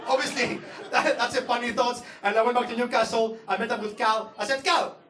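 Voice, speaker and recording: High-voiced Greek-accented comedian ranting on stage. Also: male voice, brisk, recorded from a distance. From Greece. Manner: animated, swearing ranting delivery. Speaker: stand-up comedian. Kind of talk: stand-up comedy set. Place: comedy club stage, audience laughing. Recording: roomy live venue with crowd noise.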